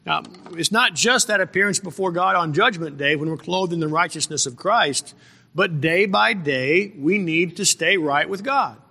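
A man preaching a verse-by-verse sermon, halting and repeating phrases, pressing points with rhetorical questions. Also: high voice, vocal fry, sharp tone, breathy edge. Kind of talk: sermon, verse-by-verse Bible exposition. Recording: reverberant hall with long echo.

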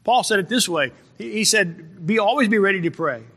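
A man delivers a Bible sermon in a fairly high, bright and forceful voice. He moves haltingly, repeating phrases for emphasis and putting rhetorical questions to his listeners. His words ring out with the long reverb of a big room. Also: animated, slightly rough. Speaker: preacher. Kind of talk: sermon, verse-by-verse Bible exposition. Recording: reverberant hall with long echo.

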